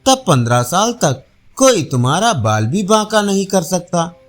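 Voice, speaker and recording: A man's voice: sits high, very animated, measured pace. A man voicing a learned, self-assured village priest and astrologer in a storybook tale, pronouncing with confidence. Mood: reassuring. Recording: faint music behind voice.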